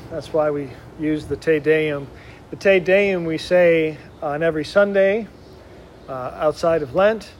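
Chatty monk giving a spiritual talk. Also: male voice, fairly high pitch, slight background noise. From Wisconsin. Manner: conversational, with frequent ums and uhs. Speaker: Benedictine monk giving a conference to oblates.

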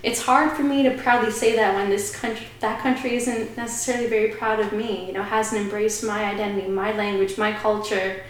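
A woman's voice: medium pitch, steady tone, brisk, few pauses, slight background noise. A woman speaking as a podcast guest, giving earnest, reflective opinions in conversation. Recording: very echoey room.

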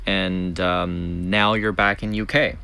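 A male interviewer with a very deep voice that comes across clean and resonant. He is friendly and chatty, filling gaps with short affirmations. His questions wander loosely before landing. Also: flat delivery, slow pace, sharp tone, clear, resonant tone. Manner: friendly, frequent backchannels, loose rambling questions. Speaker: interviewer, podcast host. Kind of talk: casual interview conversation.

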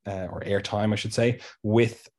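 A man's voice: on the low side, fairly even intonation, moderate pace, a little mellow. Male co-host with a blocked, nasal voice. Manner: chatty, restarts, frequent "you know" and "like". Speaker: podcast co-host. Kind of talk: casual podcast discussion.

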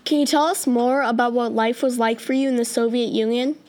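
Woman interviewer, high voice, polite, reading questions off a script. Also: fairly even intonation, brisk, darkish tone.

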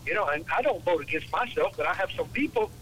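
An American man in his late sixties with a rather high voice calls in to a radio show. He speaks haltingly and repeats himself, sounding exasperated as he chats about politics. The phone line is clearly noisy. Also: expressive, fairly bright tone. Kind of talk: casual political phone-in chat. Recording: noisy telephone call to radio.

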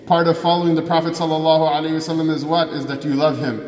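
A man preaching a Friday sermon from a distance in a large, echoing prayer hall. His voice sits a touch high, and the long reverb trails after each phrase. He poses rhetorical questions to the congregation and moves between English and Arabic scripture. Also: little pitch movement, rapid-fire, darkish tone. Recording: echoey hall, voice from far off.

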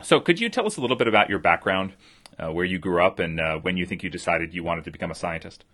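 A young man hosting a science interview series, reading prepared introductions and questions briskly. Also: deep voice, animated, bright, forceful tone. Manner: brisk, reads prepared text. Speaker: interview host, postdoc.

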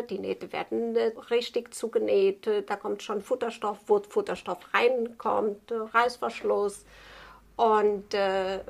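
Halting, creaky Portuguese-accented woman, slow talker. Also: on the high side. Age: 50s. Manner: halting, searching for words, non-native grammar. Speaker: tailor being interviewed. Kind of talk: spontaneous interview answers explaining a craft.

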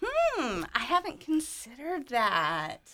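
A woman's voice: high-pitched, huge pitch swings, slow, a bit bright. A woman interviewed as a stage director, explaining in a thoughtful, articulate way.

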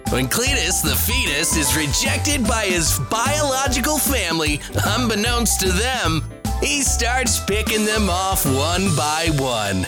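A woman's voice: medium pitch, very animated, slow pace. A woman doing a playful sing-song mock trailer synopsis with music behind her. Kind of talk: sung and spoken mock movie-trailer synopsis. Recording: clear music playing behind.

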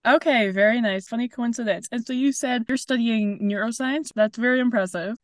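A woman's voice: on the high side, a little fast, no room sound. Young woman host, dry close sound. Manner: friendly, encouraging, many "very nice" and "wow" replies. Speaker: podcast host. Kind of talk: interview hosting.

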